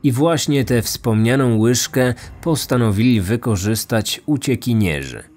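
Casting: male true crime podcast narrator, medium pitch, faint music underneath. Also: lively intonation, a little slow, a little mellow.

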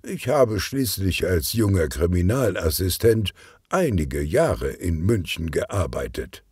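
A man playing a husky, unflappable inspector. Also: sits low, big pitch swings, slow pace, a little mellow, no room sound, studio quality, full character voice. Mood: assured. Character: calm, unflappable, seasoned male police inspector.

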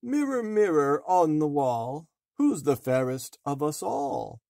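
A man's voice: fairly high pitch, wildly swooping pitch, takes it slowly, gravelly, mellow, no echo, studio quality, voice pushed up, exaggerated voice. A man voicing a vain, wicked storybook queen, chanting in rhyme. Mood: vain, demanding.

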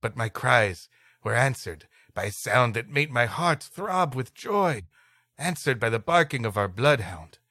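A man's voice: medium pitch, very animated, a little slow, sharp tone, no room sound. A man co-hosting a comedy podcast, reading a story aloud and playfully breaking off to riff. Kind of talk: story reading broken up by comic banter.

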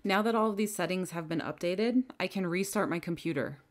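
A woman giving calm, methodical step-by-step instructions as a software trainer in a scripted screen-recording tutorial. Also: on the low side, brisk.

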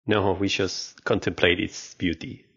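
A man from Argentina tells stories conversationally as a podcast guest. His voice is very low and creaky, and the recording is slightly dull. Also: little pitch movement, measured pace, a bit bright. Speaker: podcast guest, marketing manager. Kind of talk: conversational storytelling.

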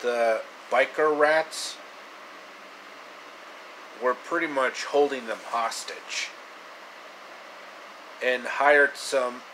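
A man in his thirties tells a story in a casual, improvised way. His pace is slow and halting, with repeated phrases, and his pitch sits in the middle. An air conditioner hums clearly behind him on a handheld-distance mic. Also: fairly even intonation, sharp tone, a little hiss or hum. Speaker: amateur storyteller. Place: small indoor room, reclining.

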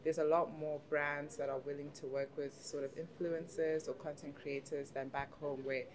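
Low-voiced young woman from Zimbabwe. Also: level tone, normal speed, a crowd in the background. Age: young adult. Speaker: student and content creator. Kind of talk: documentary interview. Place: university lounge, indoors.

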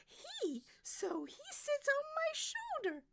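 Woman putting on a very high, dramatic soprano. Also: huge pitch swings, slow, vocal fry, sharp tone, slight background noise, full character voice. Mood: dismissive. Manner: emphatic, theatrical. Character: dramatic, protective female opera soprano, bold and dismissive.

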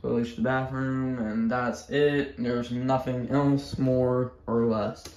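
A man's voice: medium pitch, steady tone, slow, a little mellow. A young man giving an offhand, rambling house tour in casual vlog style, talking into a handheld phone. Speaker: vlogger. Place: walking through a house. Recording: noisy handheld phone recording with clicks.

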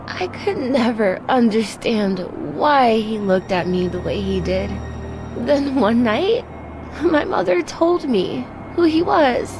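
A woman's voice: a little high, animated, slow pace, over music. A woman playing a tough, streetwise young woman who is wounded and out for revenge, in an audio drama. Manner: defiant, streetwise. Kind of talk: film-style audio drama acting.

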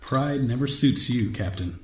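An actor playing a wry, loyal confidant in a radio drama, measured and slightly formal, the cautious voice of reason. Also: male voice, medium pitch, animated, natural voice. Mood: grave, loyal. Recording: echoey, faint background noise.

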